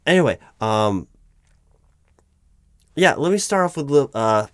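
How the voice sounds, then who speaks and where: A man playing a nervous, polite recruiting manager in a job interview roleplay, speaking aloud in a halting, stammering, apologetic way. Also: medium pitch, expressive, smooth, no room sound, clean studio sound, close to an everyday voice. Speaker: roleplay performer. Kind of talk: one-sided job interview audio roleplay, spoken aloud.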